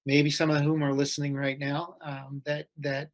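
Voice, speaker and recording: A middle-aged man, a school head, speaking as a podcast guest over a video call in a thoughtful, measured way. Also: fairly high pitch, flat delivery. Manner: thoughtful, measured, restarts phrases. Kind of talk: casual interview chat. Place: home or office, video call.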